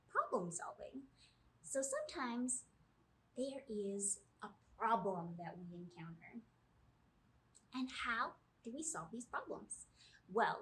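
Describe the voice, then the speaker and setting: A woman's voice: medium pitch, a bit bright, slight background noise, low-quality recording. A woman in her thirties hosting a children's storytime, reading aloud in simple phrases and asking the young listeners guiding questions. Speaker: children's librarian, storytime host. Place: small indoor room, seated before camera.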